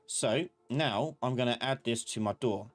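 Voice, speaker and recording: A man giving a live software tutorial as an instructor, informally thinking aloud and talking to himself as he works. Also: mid-range voice, measured pace. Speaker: instructor of a live online class. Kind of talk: live software tutorial, explaining while working.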